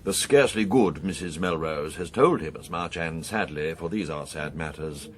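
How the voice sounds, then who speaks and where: An arch English secret agent in a radio drama. The voice is extremely low, with fastidious, precise phrasing that insinuates scandal as gossip. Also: male voice. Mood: sly, insinuating.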